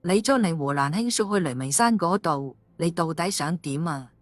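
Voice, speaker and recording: A woman's voice: fairly low pitch, lively intonation, normal speed, no room sound, faint music behind. A woman playing an angry, suspicious policewoman and wronged wife in an audiobook drama, scolding bluntly. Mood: accusing.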